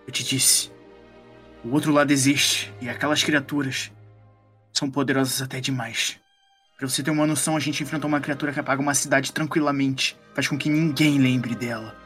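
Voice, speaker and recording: A man's voice: medium pitch, fairly even intonation, a little fast, fairly bright tone, soft background music, close to an everyday voice. A Brazilian man playing a world-weary, grim occult detective in a tabletop role-play, speaking in a terse, ominous way.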